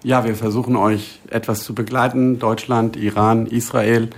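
A man who grew up in Germany, a guest in a radio studio, making earnest, measured introductions. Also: fairly low pitch, level tone, breathy edge. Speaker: studio guest and music selector.

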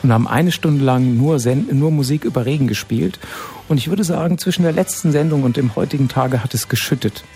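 A man hosting a relaxed radio magazine from a studio, chatty and full of fillers. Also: medium pitch, brisk, faint music behind. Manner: chatty, hesitant with many fillers. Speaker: radio host.

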